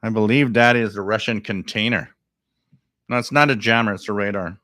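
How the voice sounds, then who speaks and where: A man hosting a live radio stream, thinking aloud in casual commentary, repeating himself and breaking into excited bursts over strange signals. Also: on the low side, brisk, no room sound, clean studio sound.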